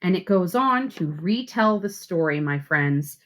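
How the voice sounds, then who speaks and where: A woman reading aloud as a teacher to schoolchildren, with expressive, deliberate phrasing. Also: fairly low pitch. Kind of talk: read-aloud storytelling and simple instructions for children.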